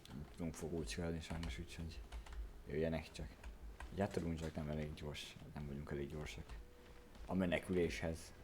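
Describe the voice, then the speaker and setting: A man's voice: extremely low pitch, level tone, a little fast, darkish tone, slight background noise. A man with a Hungarian accent giving casual commentary as he streams a game.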